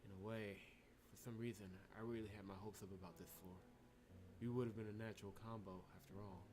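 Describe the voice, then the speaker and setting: Wary, gloomy visual novel lead, read by a male player, low-key and muttering, pitched low. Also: steady tone, brisk, noisy background, lightly acted. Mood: quietly disappointed. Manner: subdued, brooding, muttered. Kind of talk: reading game text aloud.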